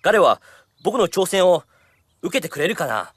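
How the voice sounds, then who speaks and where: A man voicing an eager, excited young male race car challenger with a husky voice. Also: sits high, fast talker, background noise.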